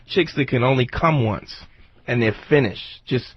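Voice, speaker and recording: A man's voice: medium pitch, moderate pace, husky. A man co-hosting a radio show with joking, bawdy banter. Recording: dull lo-fi radio recording.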